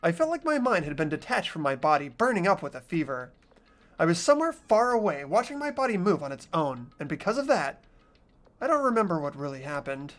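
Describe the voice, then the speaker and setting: A performer voicing an anxious, bewildered high school boy, reading visual novel narration in an earnest, dramatic, hesitant way. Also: sing-song, a little fast, natural voice. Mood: puzzled, anxious. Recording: background music behind voice.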